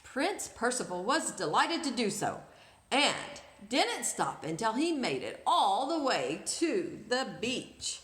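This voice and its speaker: A woman reading a children's story aloud expressively, pausing to chat and ask the young listeners questions. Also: a little high, very animated, fairly bright tone, reverberant. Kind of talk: animated children's read-aloud.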